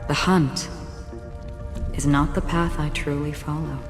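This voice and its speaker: An actress playing a calm, enigmatic wandering swordswoman in a video game, secretive and melancholy, with composed, measured delivery. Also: very deep voice. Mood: calmly resolute. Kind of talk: dramatic video game voice acting. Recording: long reverb, music behind. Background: music.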